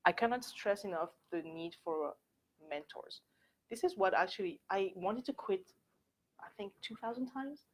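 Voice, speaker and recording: A woman's voice: medium pitch, normal speed. A young adult woman from France with a French accent, giving a candid, informal talk to students, full of "like" and "actually". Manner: chatty, candid, filler-heavy with frequent "like" and "actually". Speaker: workshop speaker. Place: university lecture room.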